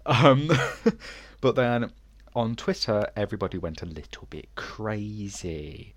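A man's voice: lowish voice, expressive, slow. A man co-hosting a casual podcast, rambling with lots of fillers and giggles, still sniffly from a cold. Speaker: podcast co-host. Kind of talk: casual chat.

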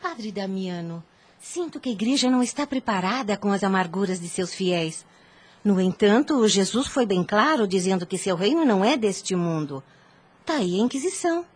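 Husky troubled devout woman. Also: mid-range voice, expressive, normal speed. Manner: earnest, formal archaic phrasing. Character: devout, troubled woman seeking counsel from her priest. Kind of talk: radio drama acting.

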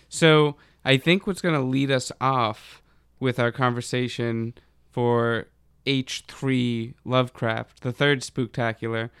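Male podcast host, conversational, smooth clean voice, very dry sound. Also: medium pitch, a bit bright, no room sound.

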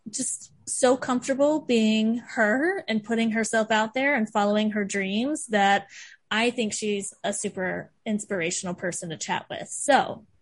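A woman hosting a podcast in casual chat, gushing and chatty, with plenty of "like" and "um" and quick laughs. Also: medium pitch. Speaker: podcast host.

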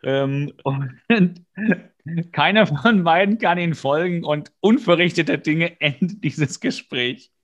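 A man's voice: a little high, measured pace, lo-fi sound. A man co-hosting a podcast, retelling a TV episode in casual chat with playful, mock-serious asides. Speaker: podcast co-host.

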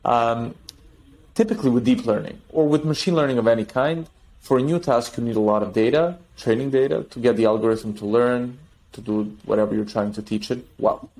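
A man talking as a guest on a podcast interview, explaining technology in an animated way with restarts and trailing thoughts. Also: mid-range voice, a little fast. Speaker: tech company guest.

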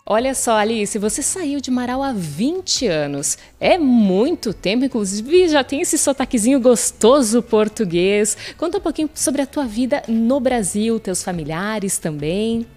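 A female host with a slightly high, lively voice who introduces her guest chattily. Faint music sits underneath. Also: measured pace, hardly any pauses. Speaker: podcast host. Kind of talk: casual interview hosting.

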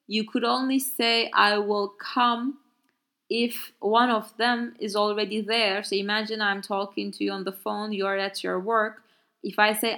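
A woman teaching a language in English, which is not her first language. She talks in a loose, chatty way, full of fillers and restarts, at a middling pitch. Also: a bit bright. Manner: casual, chatty explaining with many fillers and restarts. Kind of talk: explaining a Turkish dialogue in English.

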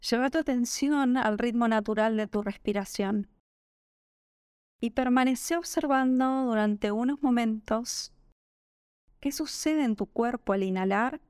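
A woman with a Rioplatense Spanish accent leads a guided meditation at a very slow pace. Her voice is fairly high and very smooth. She gives each instruction gently and calmly. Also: dry recording, studio quality. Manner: gentle, calming, unhurried instruction. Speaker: yoga nidra meditation guide.

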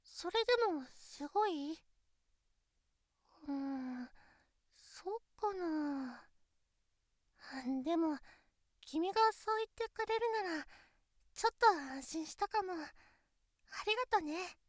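A young woman voicing an insecure teenage girlfriend who talks softly and intimately in a studio voice drama. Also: extremely high pitch, expressive, takes it slowly, pauses often, no echo, full character voice. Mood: musing. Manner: soft, intimate, pillow-talk delivery. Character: shy, insecure teenage girlfriend, female classmate. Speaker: voice actress. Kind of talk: Japanese situation voice drama.

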